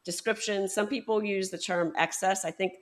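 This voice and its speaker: A woman's voice: medium pitch, fairly even intonation, normal speed. A woman hosting a book podcast interview, talking in a hesitant way with many fillers and restarts. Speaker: podcast host. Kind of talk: conversational interview.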